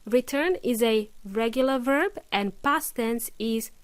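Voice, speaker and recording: A woman's voice: a little high, slow pace, no echo. A woman hosting an English lesson podcast, explaining patiently with plenty of examples and the odd filler. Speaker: English teacher, podcast host. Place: lives in Melbourne.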